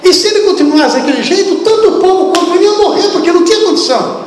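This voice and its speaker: A man preaching a sermon with a Brazilian accent, lively and talking straight to the congregation, asking them questions. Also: very high voice, rapid-fire, a little hiss or hum. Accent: Brazilian Portuguese. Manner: animated, acts out biblical speech, asks the congregation questions. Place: church hall. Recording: echoey hall, distant room.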